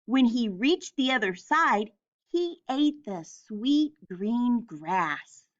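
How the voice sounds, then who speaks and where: Slow, high-voiced woman reading a storybook. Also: sing-song, dull audio. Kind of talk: children's storybook narration.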